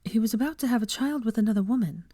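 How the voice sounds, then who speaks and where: A woman voicing an earnest, nervous young woman who speaks softly, politely and tentatively, as in an audiobook. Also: fairly high pitch, very fast, dry recording, clean studio sound, pitched above the performer's own voice, lightly acted. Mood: quietly hurt.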